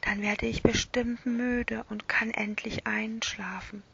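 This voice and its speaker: A woman's voice: fairly high pitch, little pitch movement, takes it slowly, vocal fry, a bit bright, husky, full character voice. A woman voicing a small sleepless boy in a whisper. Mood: curious. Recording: dull lo-fi recording.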